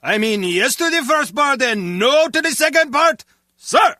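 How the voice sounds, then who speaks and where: A man's voice: extremely high pitch, lively intonation, a little slow, sharp tone, no echo, studio quality, full character voice. An actor playing a nervous, bumbling male henchman, flustered and eager to please. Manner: flustered, subservient.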